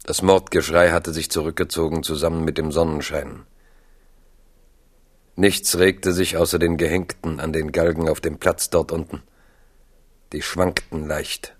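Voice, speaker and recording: A man narrating a literary radio drama in a grave, measured way. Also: rumbling low, little pitch movement, breathy edge. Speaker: story narrator.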